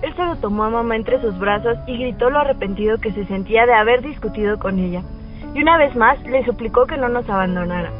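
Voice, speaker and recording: A woman's voice: fairly high pitch, fast, muffled recording, over music, natural voice. A woman playing a teenage schoolgirl who narrates her own story in a radio drama. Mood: distressed. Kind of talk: first-person radio drama narration and dialogue.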